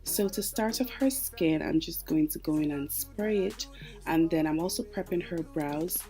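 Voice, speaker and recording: Young woman, low mellow voice, chatty makeup voice-over, soft music behind. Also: moderate pace. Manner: chatty, explains each step. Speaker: makeup artist.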